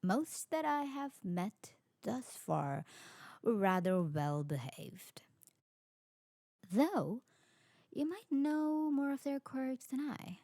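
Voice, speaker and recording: A woman's voice: high voice, very animated, takes it slowly, dark tone, no echo, clean studio sound, exaggerated voice. A woman playing a curious, adventurous, newly turned vampire in an ASMR roleplay drama, speaking in a genteel, formal way. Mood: polite, curious. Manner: genteel, formal, lingering delivery.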